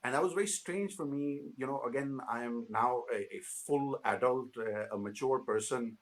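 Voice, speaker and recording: A man's voice: medium pitch, moderate pace. A man in his late thirties from Pakistan, an interview guest speaking thoughtfully with many fillers and restarts. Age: 30s. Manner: thoughtful, many fillers and restarts, slips into Hindi-Urdu phrases. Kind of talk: reflective conversational interview.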